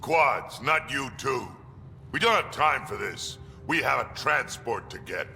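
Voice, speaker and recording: Gravelly old battle-hungry alien fighter, slow, blunt, widely swinging pitch, game dialogue over music. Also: male voice, animated, sharp tone, full character voice. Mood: gruff, exasperated. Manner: blunt, curt. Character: gruff old male Krogan alien warrior, battle-hungry, sardonic. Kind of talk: video game voice acting. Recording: music behind the voice.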